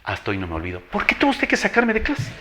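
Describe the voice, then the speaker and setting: A man's voice: sits high, huge pitch swings, fast, sharp tone, soft background music. An older man with an Ecuadorian accent and a strong, announcer-like voice, telling anecdotes as an interview guest, emphatically, repeating phrases and acting out dialogue. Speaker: interview guest, veteran teacher.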